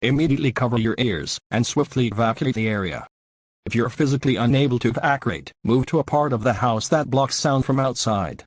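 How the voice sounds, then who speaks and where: A man's voice: medium pitch, brisk, no room sound, natural voice. A man playing a stern, official announcer reading a scripted government emergency alert. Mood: stern, formal.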